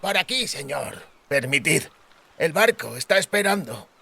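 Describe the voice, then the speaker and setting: A man's voice: on the high side, very animated, a little slow, vocal fry, sharp tone, slightly rough, voice pushed up, exaggerated voice. A man voicing a deferential boatman in an audiobook. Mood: deferential, brisk. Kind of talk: audiobook character voice. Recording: music behind the voice.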